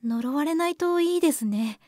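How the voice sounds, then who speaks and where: Woman playing a very high-voiced, timid young woman. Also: animated, moderate pace, darkish tone, no echo, clean studio sound. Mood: worried. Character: earnest, easily spooked sweet young woman.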